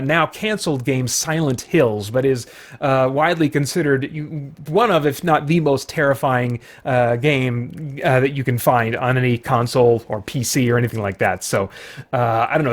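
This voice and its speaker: A man who hosts a gaming channel, talking casually and enthusiastically in a playful, rambling, conversational way. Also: mid-range voice. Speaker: video game streamer and channel host. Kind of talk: casual enthusiastic vlog talk about games.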